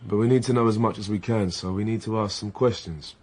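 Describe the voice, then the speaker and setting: A man acting as a young police officer in a radio drama. His voice is low and husky, and he speaks with dry, patient understatement and informal ease. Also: fast talker, a little mellow, close to an everyday voice. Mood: businesslike. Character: wry, patient police officer, once a bullied schoolboy.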